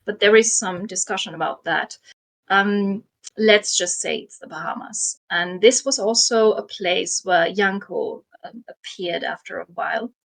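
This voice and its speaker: A woman's voice: mid-range voice, measured pace, gravelly. Woman telling history, chatty with ums. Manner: frequent ums and soft laughs. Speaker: guest storyteller. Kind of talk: casual history storytelling.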